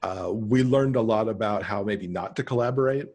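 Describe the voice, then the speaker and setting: A middle-aged man chatting casually as a podcast guest on a video call, pausing to think as he goes. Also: fairly low pitch, a little mellow. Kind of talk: casual conversational interview. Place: home room, on a video call.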